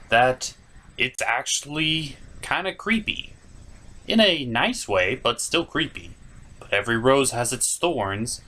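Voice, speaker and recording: A man's voice: mid-range voice, fairly bright tone. A man hosting a scripted comedic review, with theatrical, exasperated reactions. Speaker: host of a review show.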